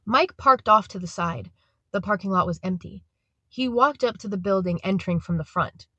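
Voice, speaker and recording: A woman's voice: mid-range voice, normal speed, sharp tone, no echo, lo-fi sound. A woman reading scripted third-person narration for an audio drama.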